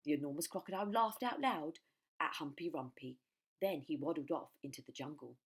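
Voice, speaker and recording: A woman reading a children's storybook aloud in an expressive narrating style, like a primary school storytime. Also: low-pitched, brisk, slightly rough.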